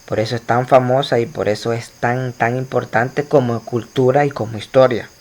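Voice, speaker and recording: Male teacher, mid-pitched, chatty lecture delivery with lots of "eh" fillers and self-corrections, talks straight to his students. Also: steady tone, moderate pace, darkish tone. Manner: explanatory, many fillers and restarts, addresses students directly. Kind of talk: recorded slide lecture.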